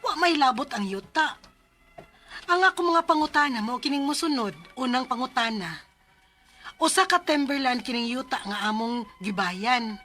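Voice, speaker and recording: Earnest, high-voiced young daughter. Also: female voice, animated, normal speed, bright, forceful tone, faint music behind, full character voice. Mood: troubled narration. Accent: Cebuano Filipino. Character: earnest, respectful young daughter telling her family's problem. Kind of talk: radio drama acting and first-person narration.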